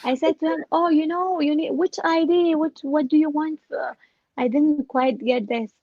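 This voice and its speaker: A woman telling a personal story as an interview guest, casually and with animated retelling of exchanges. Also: high voice, moderate pace, no room sound, muffled recording. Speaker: interview guest, scam victim.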